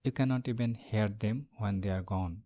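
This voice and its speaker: A man leading a guided meditation as the instructor, speaking in a calm, measured way with long pauses. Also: on the low side, dull audio.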